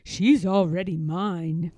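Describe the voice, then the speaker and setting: A woman plays a gentle old grandfather bear in a picture book. He talks slowly and emphatically, in a mellow, close and dry tone, fond and content. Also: fairly low pitch, steady tone, no echo. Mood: warm, emphatic. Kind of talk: children's storybook character voice.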